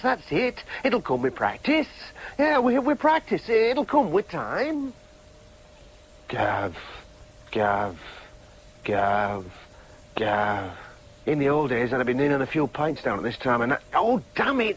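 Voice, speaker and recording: Radio drama: anxious, hopeful young man, high voice, very animated, self-questioning inner monologue. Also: slightly rough, heavily acted. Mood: frustrated, self-persuading, then chanting, distracted. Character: anxious, hopeful, searching young man seeking his parents.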